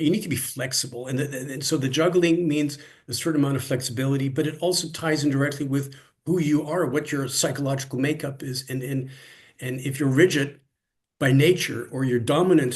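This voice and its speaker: A man's voice: mid-range voice, fairly quick. A man who grew up in Canada, speaking as a guest in a casual interview, thoughtful and often restarting his sentences. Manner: thoughtful, many restarts and repeated words. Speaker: interview guest, cross-cultural coach. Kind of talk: conversational interview.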